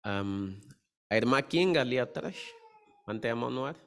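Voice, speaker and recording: Male study conductor, average pitch, short orderly prompts and thanks. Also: animated, moderate pace. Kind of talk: leading a question-and-answer religious meeting.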